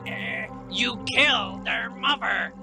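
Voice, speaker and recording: An actor playing a feisty, loyal crewmate with a gravelly voice and an in an audio play. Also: male voice, very high-pitched, slow pace, music in the background, exaggerated voice. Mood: insistent. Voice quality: gravelly, creaky. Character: feisty, indignant, loyal pirate crewmate Will. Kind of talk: theatrical audio play acting.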